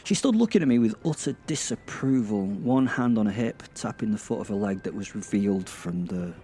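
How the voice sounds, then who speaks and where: A man's voice: on the low side, lively intonation, a little fast, warm tone, a little hiss or hum. A British man narrating a first-person audio drama in a bawdy, hesitant, self-interrupting way.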